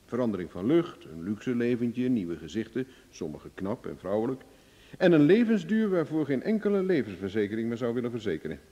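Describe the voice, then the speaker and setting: An actor playing a cocky, cool-headed private investigator in a Dutch radio drama, glib, ironic and self-assured. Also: male voice, medium pitch, sing-song, brisk, mellow, dull audio. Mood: wry, amused. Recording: reverberant old radio play recording.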